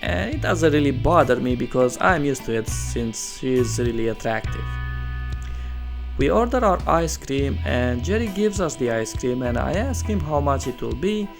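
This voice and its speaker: A man's voice: mid-range voice, lively intonation, normal speed, creaky, over music. A man who is not a native English speaker, narrating online stories as a host and adding casual, incredulous asides. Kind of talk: story narration with casual reactions.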